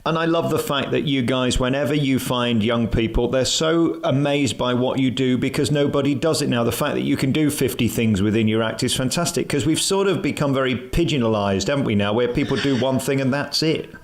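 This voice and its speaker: A man's voice: mid-range voice, brisk, hardly any pauses. A man interviewing a guest, keen and full of praise as he chats. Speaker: radio interviewer. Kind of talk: casual interview chat.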